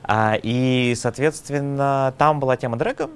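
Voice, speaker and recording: A man's voice: mid-range voice, a little slow, clean tone. A man who organizes a drag contest, speaking in a documentary interview in a rambling way and searching for words.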